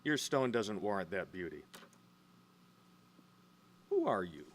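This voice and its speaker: An actor playing a courteous, condescending gemstone dealer in an audio drama, speaking formally. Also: male voice, sits low, big pitch swings, slow pace, full character voice. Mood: smooth, dismissive. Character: polite, shrewd male gemstone auction dealer.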